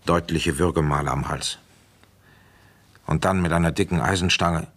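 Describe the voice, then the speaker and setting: A very low-pitched male police commissioner in a radio crime drama. He is weary but authoritative, giving orders and telling his case in clipped, matter-of-fact phrases. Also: moderate pace, fairly bright tone, husky. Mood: sombre, reflective. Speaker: detective and first-person narrator. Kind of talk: radio play acting with narration.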